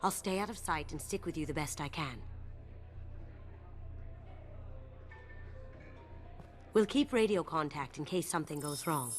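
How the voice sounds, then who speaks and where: Video game master thief, female: low register, sly, self-assured, playful; score underneath. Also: expressive, fairly quick, soft background music. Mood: focused. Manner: cool, playful, teasing. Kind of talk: video game cutscene acting.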